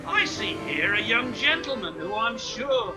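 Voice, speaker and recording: Radio play role: performer as slick, grandiloquent street hawker. Unhurried, highly animated delivery over music. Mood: slick, showy. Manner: grandiloquent showman's patter. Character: brash, slick street hawker of toothpaste and hair tonic. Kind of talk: theatrical radio play acting, sales patter.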